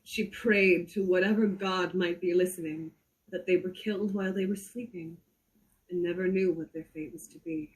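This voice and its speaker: A woman reads a horror story aloud theatrically, drawing out suspense with deliberate pauses. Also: fairly low pitch, low-quality recording. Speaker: performer reading a story aloud. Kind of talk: slow dramatic reading of a horror story.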